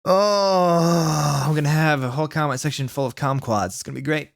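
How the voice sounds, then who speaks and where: A man's voice: a little high, normal speed, gravelly. Man doing a weary comedic read-aloud. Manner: stumbles over misspelled words, breaks off into exasperated asides. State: mentally worn out from long reading. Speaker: YouTube host reading fan fiction aloud. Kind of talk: comedic dramatic read-aloud with asides to viewers.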